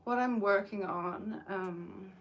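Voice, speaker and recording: A woman's voice: mid-range voice, takes it slowly. A young woman with a New Zealand accent, chatting hesitantly through a crochet livestream while sitting outdoors in the sun. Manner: soft-spoken and hesitant, with frequent "um"s. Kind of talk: casual livestream chat.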